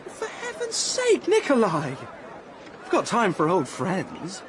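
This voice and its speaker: An actor playing an evasive, socially ambitious young staff officer in a radio drama, affable but condescending. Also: male voice, sits high, huge pitch swings, slow, exaggerated voice. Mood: insistently polite. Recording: busy crowd and street noise behind.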